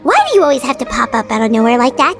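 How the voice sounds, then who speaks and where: A woman voicing a small, childlike fairy companion in a video game, chirpy, excitable and expressive. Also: on the high side, racing, music in the background. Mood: cheeky, indignant.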